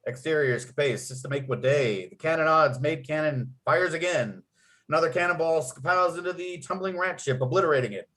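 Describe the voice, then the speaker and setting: A man's voice: on the high side, a little fast, sharp tone. A man acting as narrator at a table read, reading out script and stage directions.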